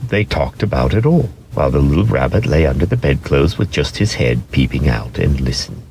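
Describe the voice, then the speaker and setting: Very deep, expressive male storyteller. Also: a little fast, slight background noise. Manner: expressive, theatrical. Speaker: narrator. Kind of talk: dramatic storybook reading.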